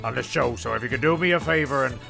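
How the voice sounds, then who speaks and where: A man's voice: mid-range voice, fast talker, creaky, music in the background. A man playing the wry, slightly exasperated narrator of a castaway comedy radio play, stumbling now and then.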